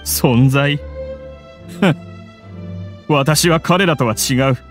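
A man's voice: fairly high pitch, drawn-out words. A man voicing a proud, grieving scholar in an anime game, with bitter, theatrical delivery. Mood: bitter despair. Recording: music under the voice.

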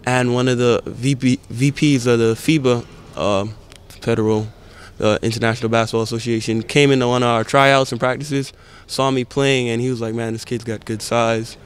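A teenage basketball prospect from the Bahamas, answering interview questions in a calm, even way into a handheld mic. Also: male voice, mid-range voice, moderate pace, smooth. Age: teens. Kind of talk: post-game press interview. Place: indoor camp media backdrop. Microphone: handheld reporter mic held close.

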